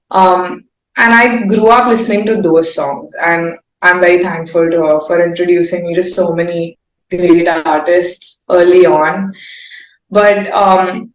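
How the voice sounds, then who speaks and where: Young woman, mid-pitched, informal and effusive interviewee in a roomy, echoing space. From India. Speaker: singer-songwriter guest. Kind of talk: candid casual interview chat.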